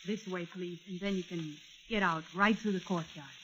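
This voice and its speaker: A performer playing a calm, kindly female jail matron in a 1940s radio drama. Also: medium pitch, normal speed, a little hiss or hum, close to an everyday voice. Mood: polite. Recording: muffled old radio recording, loud music behind.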